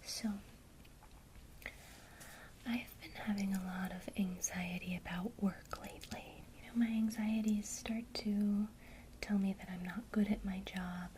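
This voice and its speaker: Woman, ASMR guide, unhurried soft whisper, faint crinkle behind. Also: mid-range voice, a little hiss or hum. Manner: soft unvoiced whisper, slow and calm. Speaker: ASMR creator teaching witchcraft.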